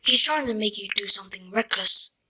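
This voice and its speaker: Woman playing a sharp, bright schoolboy friend. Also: medium pitch, fairly quick, breathy edge. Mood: dismissive. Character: dismissive, cautioning schoolboy friend. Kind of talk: fan audio drama acting.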